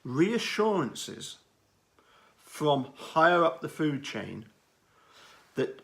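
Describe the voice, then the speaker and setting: A man giving unscripted commentary on a true-crime case, speaking in a halting, deliberate way with frequent pauses and repeated words. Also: fairly high pitch, sing-song, vocal fry, fairly bright tone.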